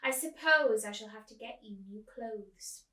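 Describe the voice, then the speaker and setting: Woman playing a distracted, offhand storybook mother, bright voice. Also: a little high, unhurried, far from the mic. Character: busy, distracted mother, mildly dismissive. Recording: echoey room, away from the mic.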